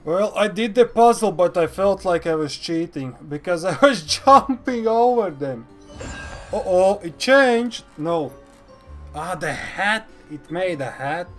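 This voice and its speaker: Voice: mid-range voice, animated, takes it slowly, fairly bright tone, soft background music. A game streamer giving casual, playful reactions while playing. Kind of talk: casual gameplay reaction commentary.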